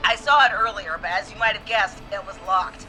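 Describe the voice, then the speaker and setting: An actress voicing a frightened female police dispatcher in a horror video game, speaking urgently and haltingly. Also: medium pitch, pushed and bright, soft background music, poor audio. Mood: urgent, focused.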